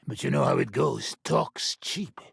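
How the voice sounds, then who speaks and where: A man voicing a gruff, sardonic middle-aged mercenary bandit in a video game, with a raspy, gravelly voice and a blunt, coarse, mocking manner. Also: mid-range voice, lively intonation, a little slow, dry recording, clean studio sound, exaggerated voice. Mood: sardonic, scheming.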